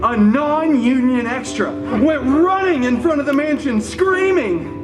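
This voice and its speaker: A man's voice: very high voice, lively intonation, a little slow. A man playing a wisecracking, irreverent superhero who tells a story live, with sarcastic, theatrical delivery and self-mocking asides. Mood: mock dramatic. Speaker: storyteller at a theme park show. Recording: live show audio, music and crowd behind.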